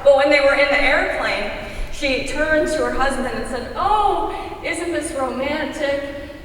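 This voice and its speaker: A woman with a high voice tells stories conversationally in a staged reading. The sound is distant and strongly echoing, with a faint noise in the hall. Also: unhurried. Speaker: readers theater performer.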